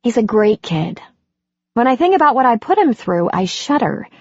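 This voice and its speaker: A woman voicing a warm, anxious middle-aged woman in an audiobook, talking brightly and quickly. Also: very animated, no room sound. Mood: eager. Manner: bright and eager, higher than her narration. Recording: dry, dull lo-fi audiobook recording.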